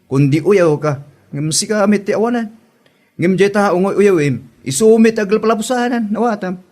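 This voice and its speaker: A man's voice: high-pitched, expressive, moderate pace, darkish tone. A man playing an exasperated village husband scolding his wife in a comedy drama, with an Ilocano accent.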